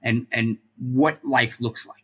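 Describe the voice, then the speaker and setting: A man's voice: mid-range voice, fairly even intonation, slow pace, bright, forceful tone, breathy edge. A middle-aged man, a former police officer and firefighter, talking as a podcast guest in an earnest way, often repeating words and adding "like" and "right?". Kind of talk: casual interview conversation.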